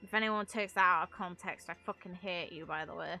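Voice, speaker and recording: Gamer girl streaming, bright voice, casual rambly chat, bit sweary, music playing behind her. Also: lowish voice, a little fast. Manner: rambling, wry, mildly sweary. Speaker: game streamer. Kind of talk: casual live stream chat while playing a video game. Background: game music.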